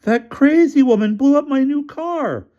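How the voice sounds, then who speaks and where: A shrill, indignant land developer squawks his outrage, pompous and easily rattled, as a male reader puts him on. The voice jumps high and loud above the reader's own, with a dull, muffled recording. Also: big pitch swings, brisk.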